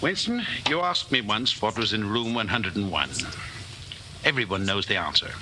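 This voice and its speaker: An actor playing a cold, controlling party inquisitor in a 1940s radio drama, commanding, deliberate and menacingly calm. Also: male voice, fairly low pitch. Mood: calm menace. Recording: noisy old 1940s radio broadcast.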